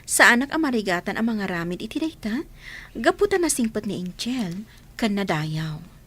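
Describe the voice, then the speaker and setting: An actress playing a kind, gentle woman who reassures a child and plans to be her stepmother. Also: medium pitch, very animated, moderate pace, breathy edge.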